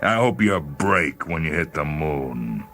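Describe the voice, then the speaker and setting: A man's voice: a bass voice, lively intonation, slow, fairly bright tone, heavily acted. A man voicing a bitter, mutinous spaceman who sneers and goads in a dramatized story reading. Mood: bitter defiance. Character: bitter, taunting, mutinous male spaceman on radio.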